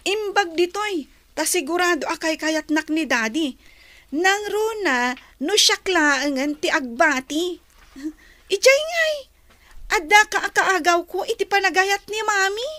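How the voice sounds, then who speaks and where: Audio drama role: petulant young daughter voiced by a woman, very high and bright, jealous and driven. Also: lively intonation, unhurried, dry recording, heavily acted. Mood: defiant, jealous.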